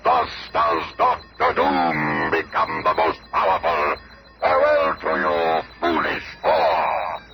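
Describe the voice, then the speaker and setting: An actor playing a pompous, gloating megalomaniac supervillain in a 1970s radio drama, with a deep, gravelly, menacing voice. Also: male voice, fairly even intonation, takes it slowly, loud music behind the voice, exaggerated voice. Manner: pompous, menacing, formal theatrical speech. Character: diabolical gloating megalomaniac male supervillain scientist. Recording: very noisy old radio show recording.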